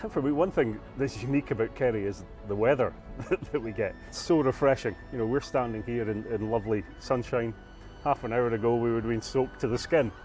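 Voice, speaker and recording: Irish distiller, chatty and relaxed, outdoor interview, faint music. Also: male voice, mid-range voice, fairly quick, poor audio. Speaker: master distiller.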